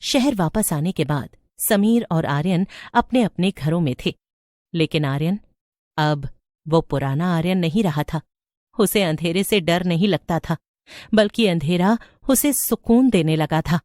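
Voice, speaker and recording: A woman's voice: on the low side, a little slow, a little mellow, no echo, clean studio sound. A woman reading scripted narration for a horror story.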